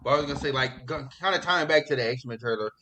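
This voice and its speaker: A man hosting a podcast in casual pop culture chat, talking in an excitable, slangy, profane way. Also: medium pitch, fast, a little hiss or hum, poor audio. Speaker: podcast host.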